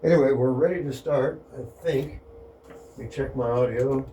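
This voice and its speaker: A man giving a casual, matter-of-fact hands-on demonstration, narrating each step aloud in an unhurried way. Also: mid-range voice, mellow, soft background music. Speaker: record cutting demonstrator. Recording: clear background noise.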